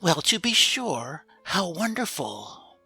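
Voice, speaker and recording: Man as a high-voiced little marvelling hen. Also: very animated, slow, sharp tone, breathy edge, dry recording, faint music behind, exaggerated voice. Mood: amazed wonder. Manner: gossipy, marvelling. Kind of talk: storybook character voice.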